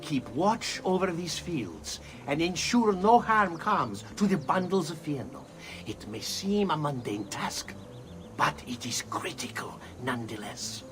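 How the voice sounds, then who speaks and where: Controlling male overseer,, slightly high, slow deliberate oratory with wide intonation, bitter edge. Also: sing-song, background noise. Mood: menacing, condescending. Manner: deliberate, oratorical, swooping emphasis. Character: embittered, controlling male overseer lecturing his guards. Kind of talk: dramatic video game monologue. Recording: loud music behind.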